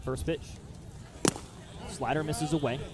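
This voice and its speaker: A man's voice: a little high, fairly even intonation, slow pace, heavy background noise. A man calling live baseball play-by-play for radio in clipped, descriptive phrases. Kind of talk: live radio sports commentary.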